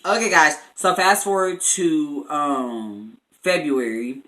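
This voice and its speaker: Bright-voiced young American man, chatty storyteller. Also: on the high side, slow, low-quality recording. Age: 20s. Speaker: YouTube vlogger. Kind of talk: casual storytime chat to viewers.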